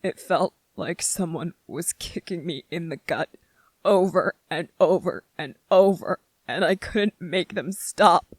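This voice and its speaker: A performer voicing a gentle, grieving young male soldier in an intimate ASMR roleplay, speaking haltingly and emotionally, close to tears. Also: mid-range voice, slightly rough, no echo. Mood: grieving, aching. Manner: halting, emotional, earnest, short broken phrases.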